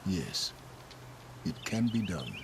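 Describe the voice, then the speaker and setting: A man's voice: sits low, sing-song, slow pace, vocal fry, background noise, heavily acted. A man playing an aloof, secretive mastermind in a video game, terse, commanding and condescending as he gives instructions. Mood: grave, commanding. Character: aloof, secretive male mastermind guiding by remote transmission. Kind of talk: dramatic video game acting. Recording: loud music underneath. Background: loud game music.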